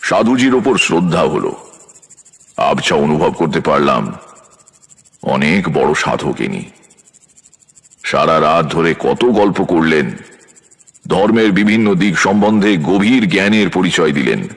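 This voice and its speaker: A man with a husky voice narrating a scripted dramatic story in the first person, in a measured storyteller's delivery. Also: sits low, very animated. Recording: music bed behind voice. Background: background music.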